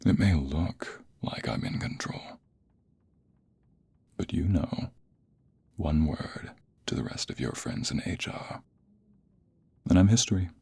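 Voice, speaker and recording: Man acting a very deep, husky, smug office rival. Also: animated, a little mellow, exaggerated voice. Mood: teasing, seductive. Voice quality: deep, gravelly, husky, breathy, often near whisper. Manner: slow, deliberate, taunting, drawn-out phrases. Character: smug suited office rival, teasing, aggressively flirting. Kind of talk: ASMR romantic roleplay, intimate second-person acting.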